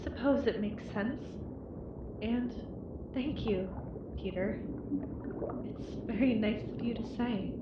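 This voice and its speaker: Girl voicing a nervous sub crewmate in analog horror, slow and hesitant, echoey dull noisy recording. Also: fairly high pitch. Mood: quiet wonder. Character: soft-spoken, uneasy female deep-sea submersible crewmate. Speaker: voice actor in an analog horror audio drama. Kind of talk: dramatic horror voice acting. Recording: dull, echoey, clear background noise.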